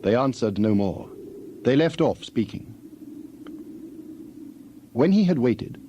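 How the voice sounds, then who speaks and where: A man narrating dramatized scripture in a measured, formal manner. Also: medium pitch, big pitch swings, dark tone, dull audio, a little hiss or hum.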